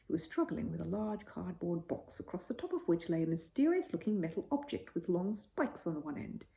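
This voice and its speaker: A woman narrating a children's bedtime story in an expressive storytelling style. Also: mid-range voice.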